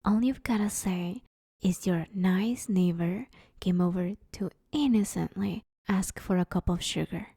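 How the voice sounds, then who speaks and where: A woman's voice: lowish voice, warm tone, slightly rough, dry recording, studio quality. A woman playing a broke, sheepish but scheming villain in a conversational comedy audio roleplay, wheedling her neighbor in an awkward, hesitant way. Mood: reassuring, conspiratorial.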